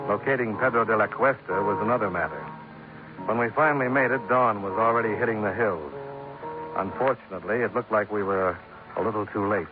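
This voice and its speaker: An actor playing a tough, cool-headed American insurance investigator, terse and dry, in 1950s radio detective style. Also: male voice, lowish voice, brisk, music in the background, lightly acted. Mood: dry narration. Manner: terse, hard-boiled, dry. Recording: tinny narrow-band old radio recording.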